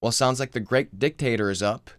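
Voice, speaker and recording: A man's voice: mid-range voice, fairly quick, no echo, studio quality, close to an everyday voice. A man voicing a sardonic, incredulous eighteen-year-old son in a screenplay reading. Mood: dry, weary.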